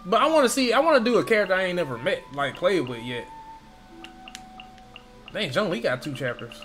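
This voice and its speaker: A man's voice: high voice, very animated, brisk, a bit bright, faint music behind. A man streaming a video game, chatting informally and thinking aloud as he plays. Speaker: gaming streamer. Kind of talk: casual gameplay commentary. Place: behind: game music.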